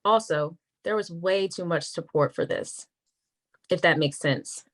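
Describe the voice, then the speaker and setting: A woman playing a wary, frank government attorney in a naturalistic script read, measured and confiding. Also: lowish voice. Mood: troubled, frank.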